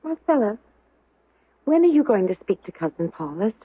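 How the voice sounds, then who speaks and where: A woman's voice: medium pitch, big pitch swings, moderate pace, breathy edge, no room sound, phone-quality sound. A woman playing a sensitive, petulant young sister from ancient Rome in a radio drama. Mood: exasperated. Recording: dry, band-limited old radio recording.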